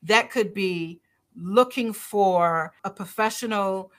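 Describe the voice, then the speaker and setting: A woman's voice: mid-range voice, slow. A middle-aged woman from Barbados, a doctor talking in an interview, earnest and emphatic, repeating her key phrases. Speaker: doctor and podcast guest. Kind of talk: conversational interview.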